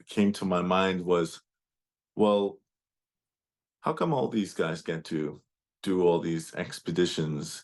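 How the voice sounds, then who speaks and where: A man whose first language is German, telling his life story on a video call in a thoughtful, reflective way, pausing often and restarting his sentences. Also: sits low. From Turks and Caicos Islands and Germany. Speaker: guest speaker. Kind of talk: personal storytelling.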